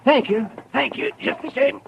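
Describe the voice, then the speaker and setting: An extremely high-voiced, fawning male undertaker from an American radio Western. He is hesitant and morbidly jokey, heard through a tinny, hissy old recording. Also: animated, moderate pace, gravelly, full character voice. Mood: fawning, apologetic. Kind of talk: 1950s Western radio drama acting.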